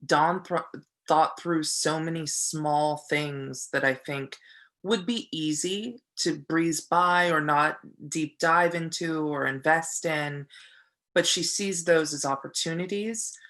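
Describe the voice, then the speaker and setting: An actor gives a reflective interview in a bright, forceful voice. The delivery is earnest and thoughtful, with ideas built up phrase by phrase. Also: mid-range voice, takes it slowly. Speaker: stage actor.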